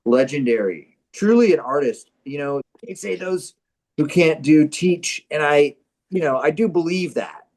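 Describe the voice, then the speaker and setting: A man's voice: on the high side, animated, measured pace, darkish tone. A man playing a middle-aged struggling actor in a comedy, boastful and defensive, talking in a hesitant, rambling, earnest way. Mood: earnest pride. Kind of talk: improvised-sounding comic dialogue.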